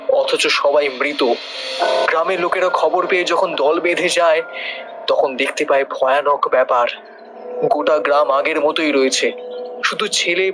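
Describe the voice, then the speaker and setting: A man's voice: on the high side, lightly acted, rough recording. A man playing an earnest, respectful villager who recounts a dreadful mystery in an audio horror story. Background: background music.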